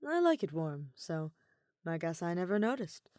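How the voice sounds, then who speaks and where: A relaxed, easygoing teenage boy, read by a woman in a storybook reading. He speaks casually and teases gently. The voice stays low and the recording is dry and close. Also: moderate pace, no echo. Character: laid-back easygoing teen boy.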